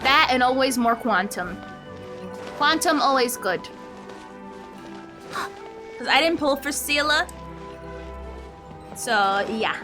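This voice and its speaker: Female game livestreamer: high-pitched, casual, slangy and giggly, faint music underneath. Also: lively intonation, slow pace, pauses often. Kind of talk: casual livestream chat with viewers.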